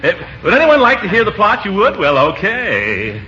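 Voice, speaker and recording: An actor playing a pompous, middle-aged comic uncle who blusters and chuckles, in 1940s radio sitcom style. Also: male voice, high voice, huge pitch swings, moderate pace, sharp tone, heavily acted. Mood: self-important. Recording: muffled, noisy 1940s radio broadcast.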